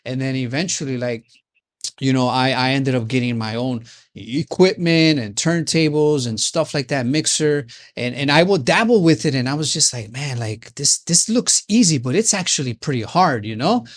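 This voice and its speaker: A man's voice: mid-range voice, normal speed. A man from Chicago hosting an interview, talking in a loose, rambling way that is full of repeated words and restarts. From Chicago, United States. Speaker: podcast host. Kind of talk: rambling casual interview chat.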